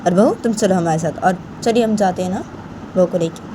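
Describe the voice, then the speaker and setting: A woman's voice: lowish voice, a little fast, noisy background, lightly acted. A woman doing a stern scolding aunt. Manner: scolding, firm.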